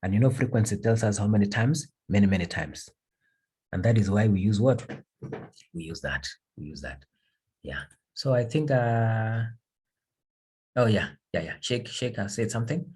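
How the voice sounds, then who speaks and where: Husky low-voiced man teaching grammar. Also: expressive, moderate pace, long pauses, darkish tone. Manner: repeats key phrases, drills examples to a class. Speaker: English teacher. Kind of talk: classroom grammar lesson.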